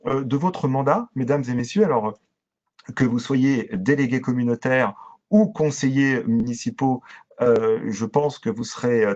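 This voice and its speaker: A man giving a formal, methodical online training presentation in speech, hesitating very often with drawn-out filler sounds. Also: medium pitch, darkish tone. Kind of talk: explanatory online presentation to local councillors. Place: video conference with shared screen. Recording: dull, lo-fi video-call audio.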